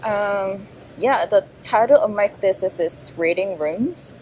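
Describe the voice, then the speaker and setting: Soft-voiced Korean-accented woman. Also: medium pitch, takes it slowly, a little hiss or hum, poor audio. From South Korea. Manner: thoughtful, hesitant, many fillers. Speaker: graduating design student. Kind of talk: interview answers.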